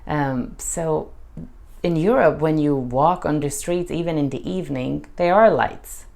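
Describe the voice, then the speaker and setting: A woman with a Hungarian accent talks to camera in a casual vlog. Her voice sits low, and she speaks in a measured, reflective way with slow pauses. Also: gravelly, darkish tone. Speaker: vlogger.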